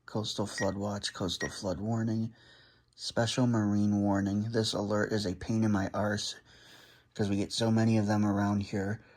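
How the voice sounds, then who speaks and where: A man's voice: lowish voice, level tone, moderate pace, darkish tone. A man doing casual, hands-on narration of a gadget setup, thinking aloud as he reads items off and comments on them. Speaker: vlogger demonstrating a gadget.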